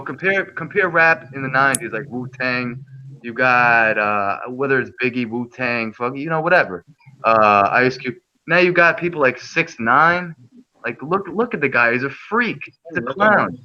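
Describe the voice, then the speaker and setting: A man's voice: medium pitch, bright, forceful tone, lo-fi sound. A man from New York chatting casually on a group livestream call, profane and rambling with lots of restarts. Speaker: livestream guest.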